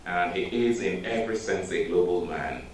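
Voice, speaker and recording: A man with a Nigerian accent, a native Igbo speaker, giving a measured, formal introduction of a guest with frequent hesitations in a university lecture hall. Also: low-pitched, lively intonation. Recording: echoey hall, distant mic, faint noise.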